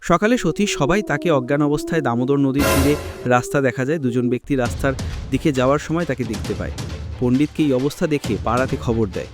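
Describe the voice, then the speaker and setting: A man's voice: medium pitch, quick pace, loud music behind the voice. A man narrating a scripted horror story for a cartoon.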